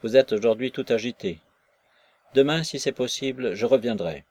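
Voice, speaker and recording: A man's voice: mid-range voice, normal speed, darkish tone, dry recording, close to an everyday voice. A man voicing a remorseful nobleman who speaks in an earnest, pleading way.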